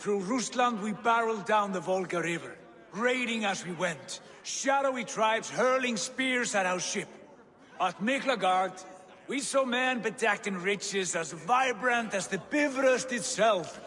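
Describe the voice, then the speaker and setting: Brief: a bragging young Viking prince, higher-pitched, unhurried, big hearty delivery. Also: male voice, expressive, pushed and bright, full character voice. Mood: boastful. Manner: boisterous, hearty, grandiose storytelling. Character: boastful young Viking prince, loud and jovial. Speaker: game character. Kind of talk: cinematic game acting. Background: faint game score music.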